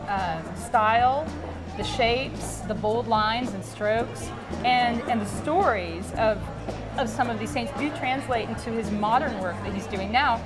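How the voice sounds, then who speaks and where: A woman from Dallas, a native Greek speaker, talking through her background in a chatty way with many ums and restarts. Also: a little high. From Dallas, Texas. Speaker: gallery owner. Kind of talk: interview at an art show. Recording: music and crowd behind. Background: crowded gallery event with music.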